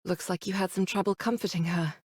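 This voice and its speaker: An actress playing a composed, caring young woman who leads a squad in a video game, measured and gently reasoning. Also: fairly low pitch, steady tone, breathy edge, dry recording. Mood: gentle, earnest.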